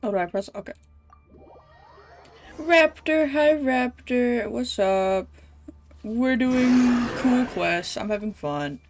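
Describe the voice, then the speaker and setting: A woman gives casual live game commentary in a mid-range voice, taking her time. She drops chatty, offhand remarks to viewers over clearly audible game music. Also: a little high, expressive, slow pace, long pauses. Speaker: game streamer.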